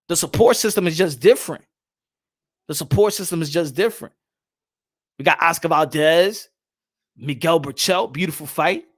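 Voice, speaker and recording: Brief: young male boxing streamer, fairly high voice, animated, keeps repeating himself, reads chat aloud. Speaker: boxing channel host. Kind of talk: casual livestream chat.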